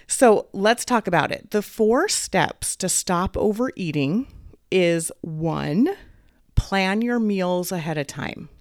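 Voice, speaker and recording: A woman hosting a podcast as a weight coach, talking casually while fighting a head cold, a little raspy and clearing her throat. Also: medium pitch, animated, slow, no room sound, clean studio sound. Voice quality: slightly raspy. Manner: upbeat, encouraging, faith-based. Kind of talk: casual solo podcast talk.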